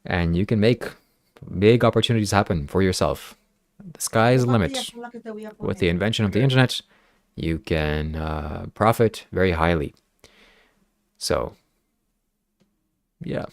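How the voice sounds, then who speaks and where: A man's voice: low voice, a little slow, gravelly, long pauses. A man whose first language is Ukrainian, hosting a casual podcast and earnestly reading viewer comments aloud. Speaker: podcast host. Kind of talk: casual livestream podcast chat.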